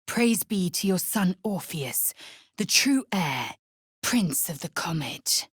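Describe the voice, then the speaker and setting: An actress voicing a fierce, proud alien warrior woman in a video game, husky and clipped, with a haughty formal delivery. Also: on the low side, sing-song, slow, no room sound, clean studio sound, exaggerated voice. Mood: reverent, proud. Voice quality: husky, breathy rasp. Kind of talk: video game character acting.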